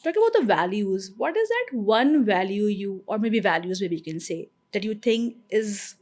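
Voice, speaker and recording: A woman's voice: mid-range voice, animated, moderate pace, a little mellow. Woman, Pakistani English accent, interview host, polite, formal questions. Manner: polite, addresses guest as sir. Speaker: podcast host.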